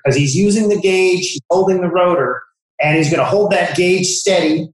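A man giving a technical demonstration as a product expert, explaining things step by step. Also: on the high side. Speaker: product expert from an encoder manufacturer. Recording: very echoey room.

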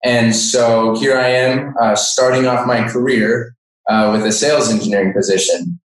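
A very roomy, distant recording of an American man in his twenties. His voice sits at a medium pitch as he explains things like a sales engineer in an interview. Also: fairly even intonation, normal speed. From Denver, Colorado, United States. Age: 20s. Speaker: sales engineer, podcast guest. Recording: echoey room, distant microphone.

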